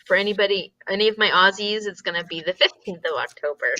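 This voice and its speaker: A woman's voice: medium pitch, quick pace, pushed and bright, no echo, lo-fi sound. A woman chatting casually and informally as co-host of a group livestream, talking into a close mic on a call.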